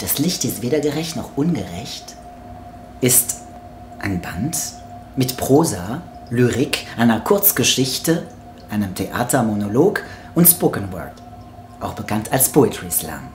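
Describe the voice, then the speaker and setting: Slow, echoey man reciting. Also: medium pitch, very animated, darkish tone, a little hiss or hum. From France. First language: French. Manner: deliberate, theatrical pauses. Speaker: author and spoken word artist presenting own book. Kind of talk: poetic spoken word book trailer monologue. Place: small home kitchen, indoors. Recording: roomy echo, music behind. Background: music.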